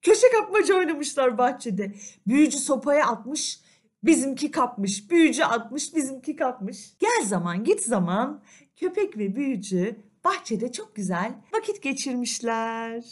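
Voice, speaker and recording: A woman storyteller narrating a children's fairy tale in an expressive, conversational way. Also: high voice, measured pace, breathy edge. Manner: animated, conversational, addresses listeners. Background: faint music.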